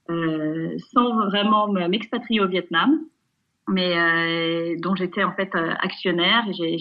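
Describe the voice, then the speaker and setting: A woman in her forties giving conversational interview answers over an internet call, pausing often mid-phrase. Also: medium pitch, dull audio. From France, raised in Nigeria. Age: 40s. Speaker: interview guest, family business executive. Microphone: video call.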